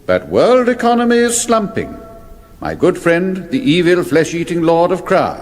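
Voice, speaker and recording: A man's voice: high voice, sing-song, normal speed, in a large hall. A British actor playing a complacent, dithering king in a radio comedy, fussy and blustering. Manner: fussy, hesitant, dismissive bluster. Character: dithering, complacent male king, blithely naive. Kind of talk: British radio comedy fantasy parody acting.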